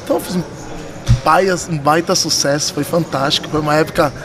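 High-voiced São Paulo man telling stories. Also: steady tone, a little fast, background noise. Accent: São Paulo Brazilian. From São Paulo, Brazil. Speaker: podcast guest, poker dealer and tournament director. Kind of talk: casual storytelling in a podcast interview. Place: poker club room, indoors. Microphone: vintage-style desk microphone. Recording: busy room crowd murmur behind.

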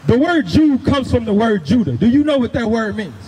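A man's voice: extremely high pitch, big pitch swings, a little fast, in a large hall, a crowd in the background. A man preaching emphatically on the street through a handheld microphone, repeating phrases and giving commands. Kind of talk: confrontational open-air street preaching. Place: outdoors on a grassy housing-project lawn. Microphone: handheld microphone through a portable speaker. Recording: noisy outdoor street recording.

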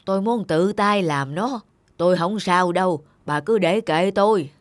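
An actor playing a gentle, doting elderly grandfather in an animated film, affectionate and unhurried. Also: medium pitch, dry recording, lightly acted. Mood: wistful, stubborn. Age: older. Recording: music under the dialogue.

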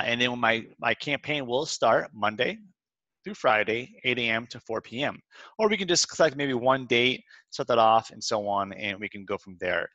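A man presenting a software walkthrough in an informal, step-by-step instructional way. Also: medium pitch, dry recording. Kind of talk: walkthrough narration of a screen demo, semi-scripted. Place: close dry voice-over for a screen recording.

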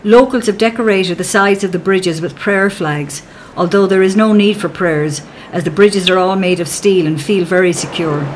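A woman giving calm, measured, scripted narration for a travel documentary as a voice-over. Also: medium pitch, a little hiss or hum, rough recording.

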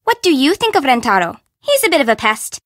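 High, animated sassy young city girl. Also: fairly quick, no echo, clean studio sound. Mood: chatty, teasing. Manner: teasing, slangy, breezy and blunt. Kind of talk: video game character dialogue.

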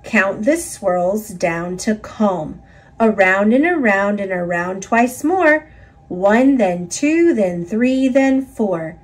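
A woman narrating a children's picture book in an expressive, instructive read-aloud style for young listeners. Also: mid-range voice, takes it slowly, husky.

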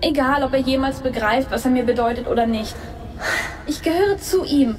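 A woman's voice: high-pitched, moderate pace, background noise, natural voice. An actress voicing a young android woman who is longing and sad in a sci-fi audio drama.

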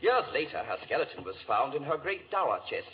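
A man's voice: medium pitch, brisk, lightly acted. An actor playing a worried, chatty English lord, a father, telling a tale in hesitant 1940s radio drama style. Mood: dramatic recounting. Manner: animated, hesitant storytelling. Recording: dull old radio recording.

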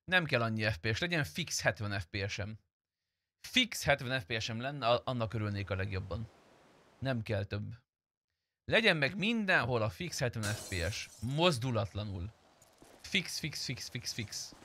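A man's voice: medium pitch, very animated, sharp tone. A man chatting casually while streaming gameplay, speaking bluntly and swearing freely. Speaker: game streamer. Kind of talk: casual gameplay chat and roleplay commentary.

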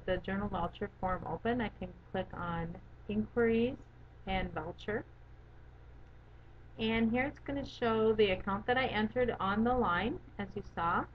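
A woman giving a methodical, step-by-step software walkthrough as an instructor. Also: mid-range voice. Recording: dull lo-fi audio with background noise.

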